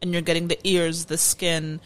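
Female podcast co-host, American accent, slightly low voice, informal explainer in relaxed conversational chat. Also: moderate pace, slight background noise. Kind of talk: casual food podcast chat.

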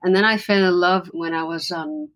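Low-voiced woman from Lebanon, earnest. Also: normal speed, low-quality recording. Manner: earnest and reflective, with frequent restarts. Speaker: musician guest. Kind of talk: conversational interview.

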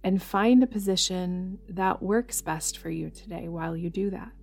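A woman leading a guided yoga nidra meditation in a gentle, soothing manner, leaving long pauses between phrases. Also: on the low side. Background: background music.

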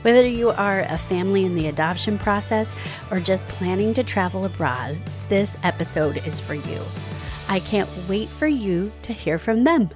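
A woman's voice: medium pitch, animated, moderate pace, darkish tone, soft background music. A woman hosting a podcast, upbeat and enthusiastic as she introduces guests and asks questions. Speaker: podcast host.

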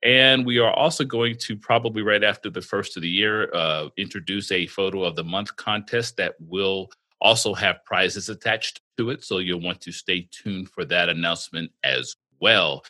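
Very bright-voiced, low-pitched male podcast host. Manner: joking, halting with many fillers and restarts. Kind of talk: casual podcast banter.

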